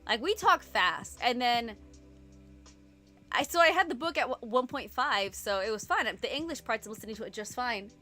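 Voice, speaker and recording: A woman's voice: on the high side, expressive, bright, forceful tone, soft background music. A woman from Puerto Rico hosting a casual reading-sprint live stream from her home computer, chatty and rambling with lots of "like.". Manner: chatty and rambling, fast-talking with frequent "like".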